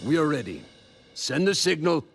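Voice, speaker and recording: A man's voice: fairly high pitch, big pitch swings, normal speed, gravelly, over loud music. A man voicing a smug, sinister goblin commander in a video game, speaking theatrically and with menace. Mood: formal, restrained. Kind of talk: video game cutscene acting.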